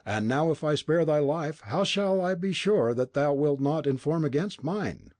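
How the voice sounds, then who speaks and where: A man's voice: a little high, expressive, unhurried, darkish tone, voice pushed up. A man voicing a bitter, scornful young male robber who sneers and accuses in an audiobook reading. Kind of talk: audiobook dialogue.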